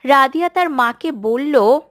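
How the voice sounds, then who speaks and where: A woman's voice: sits high, lively intonation, slow pace, no echo. Narrator wanted: woman, scripted children's storytelling, very dry studio-like sound.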